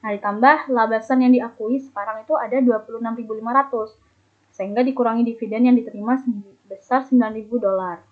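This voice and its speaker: A narrow, phone-like recording carries a young woman with a fairly high voice. She gives an explanatory student presentation, moving step by step and sometimes stumbling as she reads out figures. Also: fairly even intonation, quick pace. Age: young adult. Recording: muffled, phone-like narrow band.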